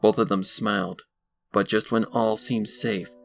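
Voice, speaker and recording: Male narrator for dramatic scripted fiction, lowish with heavy fry, music underneath. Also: fairly even intonation, unhurried. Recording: music behind the voice.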